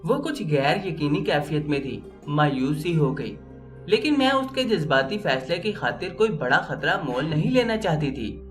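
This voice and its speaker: Female storyteller for sentimental fiction: expressive, animated pitch, light music bed. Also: normal speed. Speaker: storyteller, narrator. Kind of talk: dramatic first-person scripted narration of an Urdu story.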